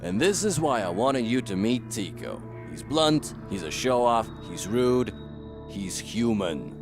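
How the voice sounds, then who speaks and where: A man's voice: mid-range voice, big pitch swings, a little slow, over music. An actor playing a brash, foul-mouthed man in a voiced comic, sardonic, abrasive and theatrical. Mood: brash, mocking. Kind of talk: voiced comic audio drama.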